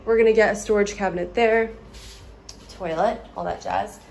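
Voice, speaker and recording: A woman's voice: medium pitch, steady tone, moderate pace, creaky, a little mellow, slight background noise. A young woman vlogger chatting casually while giving a walkthrough tour of an empty house. She films on a phone held at arm's length and talks in a bubbly way, full of "like". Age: young adult. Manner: chatty, bubbly, heavy use of "like".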